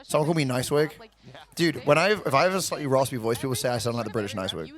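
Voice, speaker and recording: A man's voice: mid-range voice, quick pace, a bit bright. A man co-streaming with a friend, chatting playfully and teasing. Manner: playful, teasing, laughs often. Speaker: guest co-streamer. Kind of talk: casual chat.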